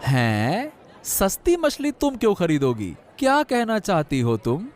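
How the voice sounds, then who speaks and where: A man's voice: high voice, huge pitch swings, a little slow, some chatter behind, heavily acted. A man voicing an older fish seller in a cartoon story, who talks in a blunt, questioning way. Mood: puzzled. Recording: soft background music.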